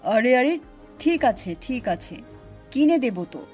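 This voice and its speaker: Woman as an indulgent, loud village father. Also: high-pitched, very animated, slow, faint music behind, full character voice. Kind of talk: animated children's story.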